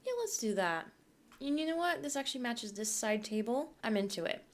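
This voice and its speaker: A woman's voice: on the high side, lively intonation, brisk. A young woman from Poland, chatting casually as a YouTuber while she builds in a game, rambling and thinking aloud.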